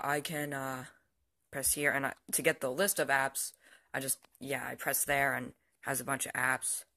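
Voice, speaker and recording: A man's voice: medium pitch, gravelly, sharp tone. A young male, teenage or barely adult, giving a casual, unscripted show-and-tell in a hesitant, rambling way that often trails off. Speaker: amateur vlogger. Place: indoors at home, by the TV.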